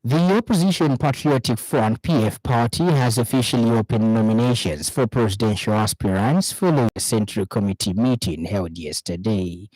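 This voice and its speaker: A man with a Zambian accent reading a scripted radio news bulletin in a formal, measured announcing manner. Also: fairly low pitch, a little mellow, dry recording, low-quality recording.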